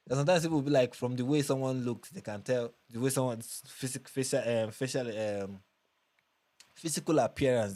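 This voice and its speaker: A man's voice: medium pitch, darkish tone, dry recording. A young Nigerian man hosting a casual livestream, reading viewer comments and chatting with restarts and repeats. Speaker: livestream host.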